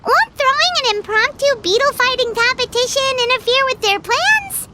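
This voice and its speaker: A woman voicing a tiny, cheeky, excitable childlike floating companion in a video game. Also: extremely high pitch, moderate pace, a little hiss or hum, exaggerated voice. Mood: puzzled. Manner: refers to self in third person, exclamatory. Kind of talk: animated video game voice acting.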